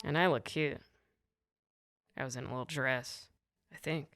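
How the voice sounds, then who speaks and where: A woman comedian guest with a deep voice, telling a rambling, giggly story in casual chat. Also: fairly even intonation, moderate pace, a bit bright, faint music behind. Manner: giggly, rambling, self-correcting.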